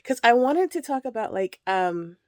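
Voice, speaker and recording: A woman's voice: fairly high pitch, very animated, normal speed, dry recording, studio quality. A woman in her thirties vlogging casually from a child's bedroom while packing, rambling with lots of fillers and a slightly nasal voice from a cold. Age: 30s. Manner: rambling, laughs often, lots of "like" and "um"; packing clothes while talking. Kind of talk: casual chat.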